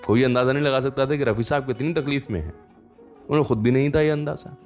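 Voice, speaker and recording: A man hosting a radio show, telling stories conversationally with unhurried pauses and fillers. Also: medium pitch, a little mellow, faint music behind. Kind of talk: conversational storytelling radio presenting.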